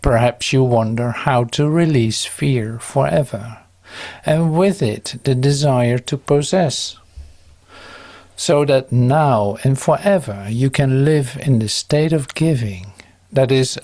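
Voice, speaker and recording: A man giving a calm, solemn, measured reading of a devotional text, with drawn-out pauses. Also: medium pitch. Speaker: devotional reader.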